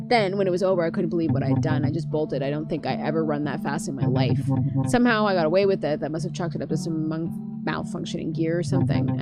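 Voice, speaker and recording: A woman voicing a gruff, defiant teen in a visual novel, blunt and guarded. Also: sits low. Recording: music behind the voice.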